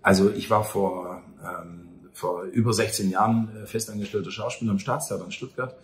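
Male interviewee, forties, fairly low voice, colloquial and hesitant, echoey venue. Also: steady tone, moderate pace. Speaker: actor and theatre director being interviewed. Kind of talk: conversational interview answers. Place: small cafe or bar table at night. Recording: echoey room.

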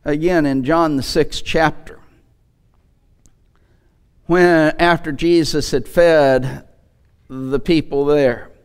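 An older man in his sixties preaches from a church pulpit. His voice sits high for a man and he takes his time, speaking slowly. He drives points home with rhetorical questions and emphatic repetition. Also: fairly even intonation, smooth. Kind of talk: sermon. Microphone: headset microphone.

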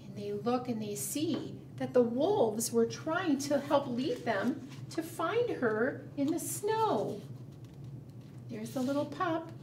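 Middle aged lady reading a picture book to little kids, slow n expressive, kinda far from the mic w/ some noise. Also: high-pitched. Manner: simple, expressive, asks the listeners questions. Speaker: children's librarian and storytime host. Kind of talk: picture-book storytelling for preschoolers. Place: indoor room, book shown to camera. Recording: roomy, distant mic, background noise.

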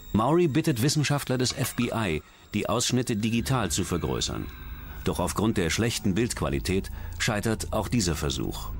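A man reading scripted documentary narration in as the narrator. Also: fairly low pitch. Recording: music under the voice. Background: background music.

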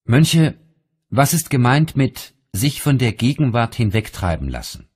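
Male narrator for spiritual audiobook, mid-pitched, slightly breathy husk, expressive intonation, measured delivery.

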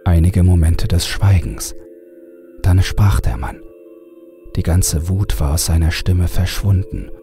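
A man narrating a scripted horror story in a measured, ominous manner. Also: deep voice, music in the background. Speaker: audiobook narrator.

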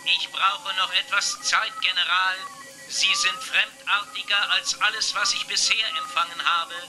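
A man voicing a calm, helpful robot ship computer in a children's sci-fi radio drama, speaking in a measured, formal, factual way. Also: high voice, rough recording. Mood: matter-of-fact. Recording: music behind the voice.

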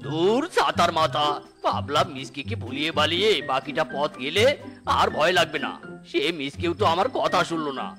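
A man's voice: on the high side, expressive, moderate pace, pushed and bright. A man voicing a timid, scheming tiger uncle in a cartoon, grumbling and coaxing as he talks. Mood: annoyed, scheming. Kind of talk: animated cartoon dialogue. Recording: faint music behind the voice.

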